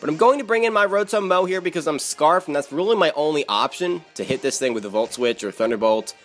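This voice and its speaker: A man's voice: a little high, lively intonation, quick pace, a bit bright, soft background music. A man narrating his own video game battle as commentary, repeating words and phrases for emphasis.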